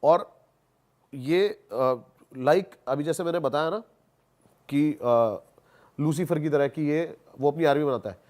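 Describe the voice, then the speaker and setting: Male podcast guest, slightly high voice, colloquial storyteller who repeats phrases for emphasis and drops in English words. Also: a little mellow. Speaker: podcast guest, haunted-place investigator. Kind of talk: animated casual storytelling on a podcast.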